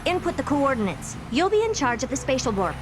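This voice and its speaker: An actress voicing a haughty, playful genius researcher in a video game, with a condescending, commanding and theatrical manner. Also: female voice, high-pitched, very animated, a little fast, background noise.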